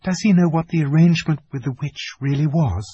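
A man's voice: fairly high pitch, a little fast, warm tone, breathy edge, lo-fi sound, pitched above the performer's own voice. A man voicing a young girl in a storybook, eager, anxious and a little cross, with an insistent manner. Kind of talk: dramatised audiobook reading of a children's fantasy.

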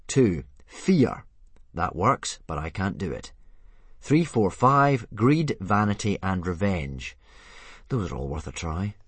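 A man voicing an exasperated young boy who coaxes and pleads with his pet dragon, sometimes yelling. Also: low-pitched, big pitch swings, slow pace, breathy edge, dry recording, full character voice. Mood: weary.

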